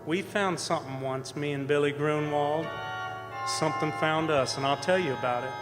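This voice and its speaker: A man acts out an easygoing roughneck from an old-time radio drama, with plain colloquial speech. His voice sits a little high for a man. It rings in a big hall with music playing behind. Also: moderate pace, lightly acted. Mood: folksy storytelling. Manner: colloquial, folksy. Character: folksy old-time oil rig roughneck, easygoing storyteller. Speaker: actor and narrator in a radio play. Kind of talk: stage radio drama acting. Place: performing arts center stage.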